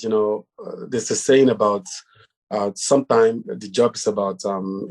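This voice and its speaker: A man's voice: lowish voice, darkish tone, poor audio. A man on a casual panel giving careful, hesitant advice on interviews, with frequent ums and uhs, over a video call. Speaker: panelist on job hunting.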